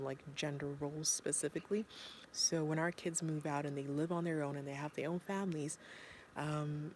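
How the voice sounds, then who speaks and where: A woman's voice: deep voice, darkish tone, slight background noise. A woman from Zimbabwe, a parent, talking casually and earnestly with many fillers and restarts. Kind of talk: casual talk to camera.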